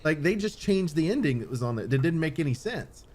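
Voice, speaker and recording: A man's voice: fairly high pitch, very animated, fairly quick, darkish tone. A man hosting a podcast in casual, opinionated chat, rambling and circling back over his points with casual swearing. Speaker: podcast host.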